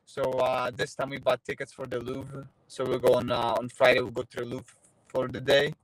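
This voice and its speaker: A man's voice: medium pitch, normal speed, no echo, rough recording. A man in his thirties, a Polish native speaker, chatting in a casual interview from his car, with lots of fillers and restarts. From Poland. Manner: relaxed, many fillers and restarts. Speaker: interviewee, fighter. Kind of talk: casual video-call interview. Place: parked car. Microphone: phone held at arm's length.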